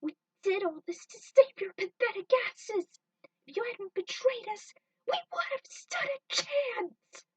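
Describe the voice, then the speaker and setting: A woman voicing an angry, betrayed young female bounty hunter in a shrill, anguished outburst, reading dramatic fan fiction. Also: lively intonation, measured pace, a bit bright, no room sound, distant mic, exaggerated voice, poor audio. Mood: furious, anguished.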